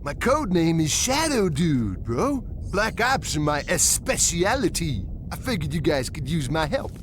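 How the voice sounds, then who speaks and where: Young slangy dude, cocky coward, hugely expressive, for a video game. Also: male voice, a little high, measured pace. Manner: slangy slacker drawl. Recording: noisy ambience behind.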